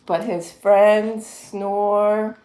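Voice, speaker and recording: A woman reading a children's picture book aloud in an expressive, deliberate storytelling manner. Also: medium pitch, echoey room, slight background noise, low-quality recording. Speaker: story-time reader, adult with a young child.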